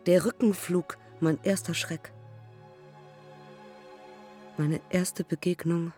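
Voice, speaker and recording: Dark-voiced intense woman pilot. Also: fairly low pitch, steady tone, takes it slowly, husky, faint music behind. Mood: reflective. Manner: terse, staccato fragments. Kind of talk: German radio play monologue, telegraphic.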